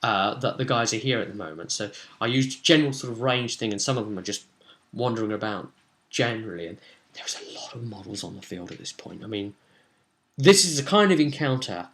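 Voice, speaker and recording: A man, casually recounting a tabletop role-playing session in an animated, rambling way and slipping into bits of dialogue. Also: fairly low pitch, brisk. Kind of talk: casual rambling storytelling to camera. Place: small home room, indoors.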